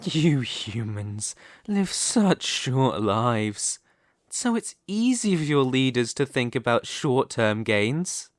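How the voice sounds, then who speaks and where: A man performing an arrogant, domineering immortal demon king in an ASMR roleplay, speaking deliberately with condescending menace. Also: medium pitch, sing-song, dry recording, clean studio sound. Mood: disdainful.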